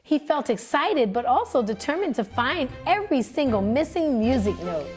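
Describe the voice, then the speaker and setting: Lively, sing-song woman reading a story. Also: high voice, normal speed, faint music behind. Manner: animated, expressive, sing-song narration. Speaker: librarian reading aloud. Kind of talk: children's read-aloud storytelling.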